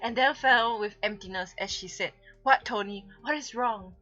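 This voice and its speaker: Female streamer, bright and highish voice, giggly dramatic story reader, casual asides, slightly muffled audio over music. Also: normal speed. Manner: giggly, theatrical, often breaking off from the script. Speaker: streamer reading aloud. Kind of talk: dramatic fan fiction reading with casual asides. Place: live online stream.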